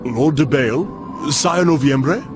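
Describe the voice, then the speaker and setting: An actor playing a deferential, formal herald in a video game, with an obsequious, ceremonious manner. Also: male voice, mid-range voice, unhurried, natural voice. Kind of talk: video game cutscene acting. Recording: loud music behind.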